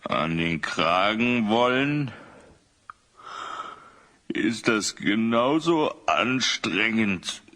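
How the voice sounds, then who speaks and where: An actor playing a huge, lazy, grumbling giant in a children's audio drama, drawling sleepily. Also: male voice, lowish voice, animated, very slow, long pauses, sharp tone, exaggerated voice. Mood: weary, puzzled.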